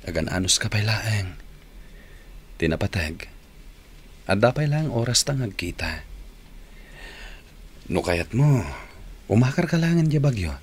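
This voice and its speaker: A man's voice: on the low side, big pitch swings, unhurried, pauses often, a little hiss or hum. A man with a Filipino accent playing an earnest young long-distance boyfriend in a radio drama. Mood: reassuring.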